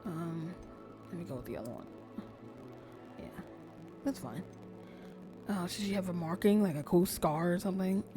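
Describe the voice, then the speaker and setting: A woman streamer chatting casually while she plays, soft-spoken and sometimes nearly whispering. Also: lowish voice, moderate pace, long pauses, music in the background. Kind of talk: casual gameplay commentary. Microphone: desk streaming mic.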